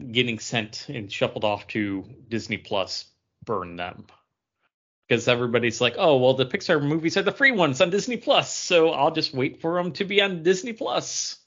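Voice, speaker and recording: A man's voice: a little high, lively intonation, a little slow, fairly bright tone, dull audio. A man co-hosting a podcast in a casual, conversational panel chat. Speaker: podcast co-host.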